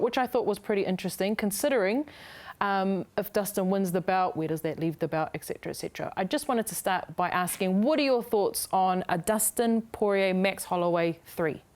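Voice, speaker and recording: A woman's voice: on the low side, a little mellow. Upbeat New woman hosting. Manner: upbeat, chatty, laughs along with guest. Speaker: sports show host. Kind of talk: hosting a studio sports interview. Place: TV studio.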